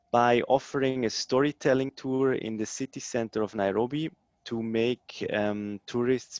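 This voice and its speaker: A man in his thirties from Switzerland, answering interview questions in a hesitant way with many pauses and ums. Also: mid-range voice, no echo, lo-fi sound. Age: 30s. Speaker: social entrepreneur guest.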